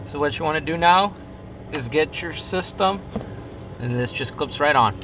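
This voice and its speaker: A man's voice: a little high, lively intonation, normal speed, noisy background. A man presenting a step-by-step installation how-to in casual, informal language. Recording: music behind the voice.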